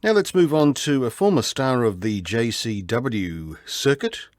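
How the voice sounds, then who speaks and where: A man's voice: mid-range voice, lively intonation, unhurried, no echo, studio quality. An Australian man hosting a music programme on the radio, introducing records in an informal way. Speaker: radio host.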